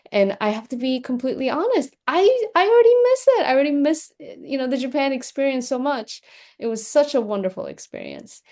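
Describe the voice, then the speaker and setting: A woman's voice: medium pitch, a little mellow. Upbeat woman podcast host. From Korea. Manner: enthusiastic, conversational, frequent fillers. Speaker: podcast host and executive coach. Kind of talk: podcast interview.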